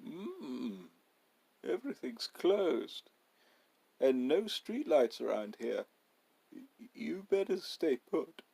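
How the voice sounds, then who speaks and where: A man voicing a taciturn, one-eyed boarding house owner with a hoarse, gruff voice in a short story reading. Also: on the high side, very animated, slow pace, mellow, pitched above the performer's own voice, exaggerated voice. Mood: gruff warning.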